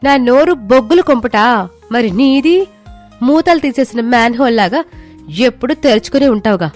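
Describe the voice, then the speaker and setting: A woman voicing a sharp-tongued young village wife in a comic story. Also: on the high side, lively intonation, moderate pace, faint music behind, close to an everyday voice. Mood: scolding.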